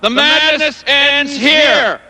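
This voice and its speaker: An actor playing an impassioned nuclear expert turned activist, giving an emphatic speech in an echoing hall. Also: male voice, very high-pitched, drawn-out words, heavy background noise, exaggerated voice. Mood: fervent, angry. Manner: emphatic, declamatory. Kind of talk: public oratory in a TV drama. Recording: long echoing hall, public address.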